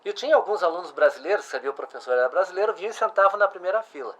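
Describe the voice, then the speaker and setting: Man, middle-aged, conversational host, slightly high voice. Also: expressive, very fast, fairly bright tone. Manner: conversational, restarts and asks follow-up questions. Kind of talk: casual interview chat.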